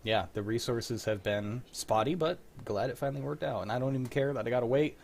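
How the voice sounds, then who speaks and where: A young man hosting a livestream, chatting casually and telling stories in an animated, sweary way. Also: medium pitch, a little fast, a little hiss or hum. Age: young adult. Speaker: host of a livestreamed script-reading show. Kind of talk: casual chat.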